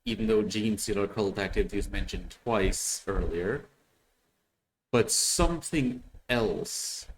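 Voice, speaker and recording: A man's voice: on the low side, unhurried, slight background noise. A man giving casual reaction commentary, musing and hesitant as he thinks aloud. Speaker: reactor commenting on an audio drama.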